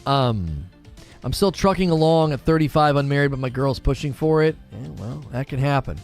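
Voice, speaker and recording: Relaxed forty-year-old man chatting. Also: mid-range voice, moderate pace, faint music behind. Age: 40s. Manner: relaxed and conversational, musing aloud with fillers. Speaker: gaming channel host reading live chat. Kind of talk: casual livestream chat.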